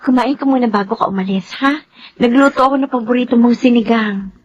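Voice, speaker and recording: An actress playing a gentle, self-effacing young soldier's wife and mother in Filipino radio drama, soft and caring. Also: fairly high pitch, fairly quick, breathy edge. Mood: tender, reassuring. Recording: slightly dull, faint ambient noise.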